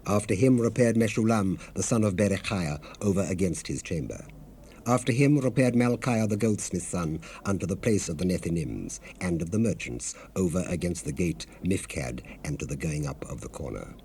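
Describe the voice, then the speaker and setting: Casting: a devout, declamatory Bible leader, low mellow voice, solemn and earnest, old cassette hiss. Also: male voice, lively intonation, moderate pace. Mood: solemn, measured. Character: Nehemiah, earnest, devout male leader recounting his people's struggle. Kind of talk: dramatized scripture reading, solemn narration. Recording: hissy 1970s cassette rip.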